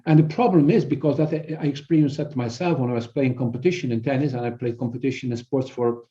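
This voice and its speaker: A middle-aged man with a Belgian accent explaining things as an interview guest, in a halting way with repeated words. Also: medium pitch, dark tone. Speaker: guest, performance coach. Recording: dull, band-limited audio.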